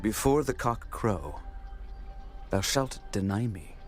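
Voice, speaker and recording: An actor playing a calm, solemn prophet in a dramatized scripture reading, speaking in a measured way. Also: male voice, fairly low pitch. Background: prominent music.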